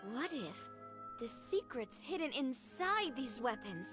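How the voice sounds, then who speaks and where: High, confident young huntress. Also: female voice, very animated, a little slow, loud music behind the voice. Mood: playful, confident. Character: confident, playful young woman role-playing a monster hunter. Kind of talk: video game voice acting.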